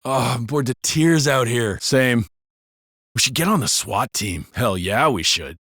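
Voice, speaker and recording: Bright-voiced, animated American cop. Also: male voice, medium pitch, measured pace, no echo, clean studio sound. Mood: sardonic banter. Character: gruff, foul-mouthed male city beat cop. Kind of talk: scripted video game voice acting.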